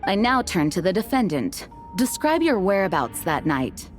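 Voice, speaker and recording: A woman playing a dutiful young knight commander presiding over a trial, speaking in a formal, measured, authoritative way. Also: mid-range voice, sing-song, close to an everyday voice. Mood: composed, formal. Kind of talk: scripted game cutscene acting. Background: soft background music.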